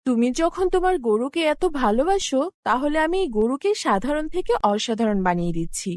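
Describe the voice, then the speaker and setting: A woman voicing a benevolent, commanding fairy in an animated fairy tale, with a grand manner. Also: high voice, brisk, no echo, studio quality. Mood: kindly, instructing. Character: commanding, benevolent female fairy with a magic wand.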